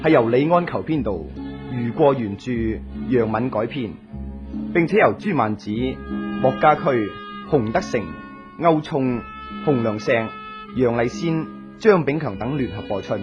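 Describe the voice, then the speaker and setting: A man's voice: medium pitch, expressive, measured pace. A man announcing a radio drama in a formal, scripted style. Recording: dull, lo-fi old radio broadcast. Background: music.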